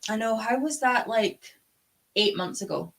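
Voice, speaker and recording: A woman's voice: on the high side, measured pace, creaky. A young woman hosting a casual book chat, gushing and sweary like an enthusiastic fan. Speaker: book club live show host. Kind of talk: casual chat about books.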